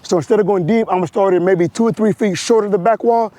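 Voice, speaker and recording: A hoarse man with a high voice talks riders through a slow-speed drill step by step, repeating his cues. He is outdoors on a wireless mic. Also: mellow. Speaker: motorcycle riding instructor. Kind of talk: outdoor riding demonstration.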